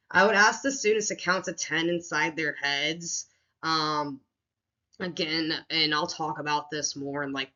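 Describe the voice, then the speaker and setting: A woman teacher with a deep voice talks casually through a classroom lesson plan, with many fillers and restarts. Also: pushed and bright, highs cut off.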